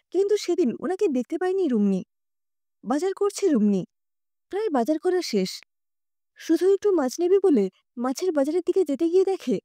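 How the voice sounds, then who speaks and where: A woman storyteller reading a story aloud with a high, animated voice that rises and falls freely. Her tone is mellow and warm rather than bright. The recording is close and dry. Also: no room sound. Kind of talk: scripted audio story narration.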